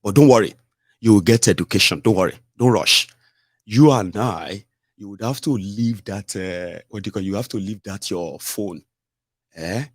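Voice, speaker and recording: A man's voice: on the low side, moderate pace, no echo, studio quality. A middle-aged Nigerian man giving combative, mocking political commentary with rhetorical asides. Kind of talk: opinionated solo commentary.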